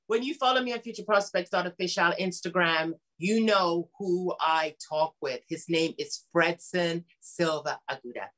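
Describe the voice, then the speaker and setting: A woman's voice: on the low side, moderate pace, hardly any pauses, a bit bright. Effusive woman hosting an interview show. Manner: effusive, gushing, calls the guest sweetheart and honey. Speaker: show host. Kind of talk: enthusiastic interview host.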